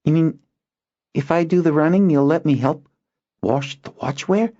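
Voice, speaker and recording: A man voicing an excitable young boy, pitching his voice high and sounding breathless and wide-eyed. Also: moderate pace, muffled recording, lightly acted. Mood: eager. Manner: breathless, wide-eyed, boastful about dragons. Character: eager excitable young boy on lookout duty.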